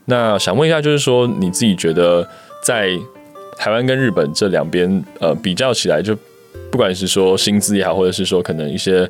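A man's voice: deep voice, a little fast, soft background music. A man with a Taiwanese accent asking casual interview questions in a hesitant, roundabout way. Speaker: podcast co-host, interviewer.

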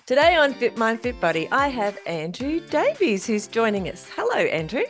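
A woman's voice: a little high, sing-song, moderate pace, soft background music. An Australian woman in middle age hosting a podcast, chatty and upbeat, laughing often in casual interview talk. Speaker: podcast host.

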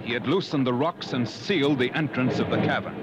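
An actor playing an earnest male adventurer who narrates a safari tale in measured, dramatic 1950s radio style. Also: mid-range voice, muffled recording, very noisy, close to an everyday voice. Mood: grave, suspenseful. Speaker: narrator and character in a radio play. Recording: old radio broadcast with music.

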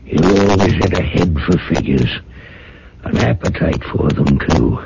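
An actor playing a wealthy, dying old father in a radio drama, stern and moralizing, speaking in a halting, weighty way. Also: extremely low pitch, animated, gravelly, exaggerated voice. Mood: weary, bitter. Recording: muffled, faintly noisy vintage radio recording.

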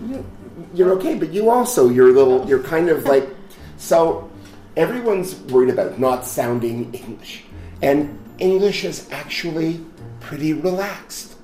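A man's voice: fairly high pitch, expressive, unhurried, mellow, faint music behind, rough recording. Blunt male pronunciation coach. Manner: blunt, repeating corrections. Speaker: pronunciation teacher, linguistic consultant. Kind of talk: spontaneous classroom coaching.

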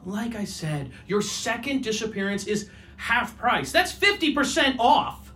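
A man's voice: very high voice, big pitch swings, unhurried, sharp tone, a little hiss or hum, heavily acted. A man acting a slippery, easily flustered salesman in a comedic audio drama, glib and evasive, hesitating and then turning pushy. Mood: breezy salesmanship. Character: slippery, flustered male salesman of fake disappearances.

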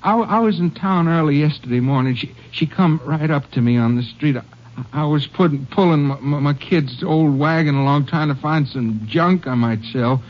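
A man's voice: on the high side, moderate pace, natural voice. An actor playing a desperate, impoverished father who stammers and pleads his innocence in an old-time radio drama. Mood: pleading, panicked. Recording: muffled vintage radio recording.

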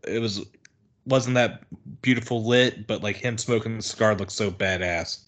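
A man's voice: lowish voice, fairly even intonation, moderate pace, fairly bright tone. A man appearing as a guest on a casual podcast, talking bluntly and swearing freely.

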